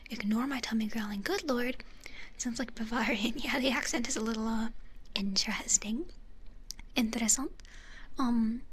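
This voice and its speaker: A woman's voice: high-pitched, a little hiss or hum. Whispering young woman streamer. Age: young adult. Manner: soft breathy whisper with kiss sounds. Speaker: VTuber streamer. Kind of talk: whispered ASMR livestream, greeting chat.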